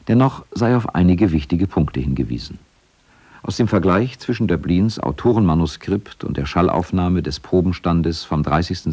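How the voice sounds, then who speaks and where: Deep-voiced, formal male feature narrator. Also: a little mellow. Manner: formal, factual. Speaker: documentary narrator. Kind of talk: scripted documentary narration.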